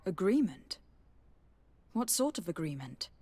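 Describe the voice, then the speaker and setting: A woman's voice: on the low side, sing-song, measured pace, dark tone, husky, heavily acted. A woman voicing a poised, practical noble diplomat in a video game, formal and assured. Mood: composed.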